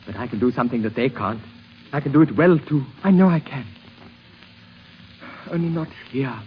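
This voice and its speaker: An actor playing a sensitive young grandson in a 1950s radio drama, speaking earnestly and hesitantly, full of emotion. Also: male voice, medium pitch, expressive, moderate pace, lightly acted. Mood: passionate, yearning. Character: sensitive, yearning young musician grandson, shy but passionate. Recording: muffled, hissy 1950s radio recording.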